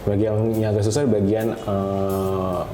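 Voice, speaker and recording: A man's voice: fairly low pitch, level tone, measured pace. A man explaining things casually as a podcast guest, mixing in English words. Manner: explanatory, peppers talk with English words and tags. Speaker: guest, data scientist. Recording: roomy echo, faint background noise.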